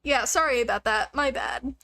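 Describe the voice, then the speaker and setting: A woman's voice: high voice, normal speed, gravelly, pushed and bright. A woman livestreaming a game, chatting casually with viewers in a joking, self-deprecating way. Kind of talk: casual rambling chat with viewers.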